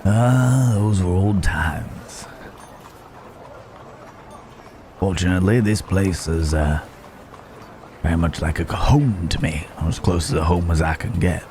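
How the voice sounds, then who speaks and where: A man playing a rugged, protective cowboy drifter in an intimate ASMR Wild West roleplay, with a drawl, teasing and reassuring as he pauses between thoughts. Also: low-pitched, darkish tone, slight background noise. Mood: wistful, easygoing.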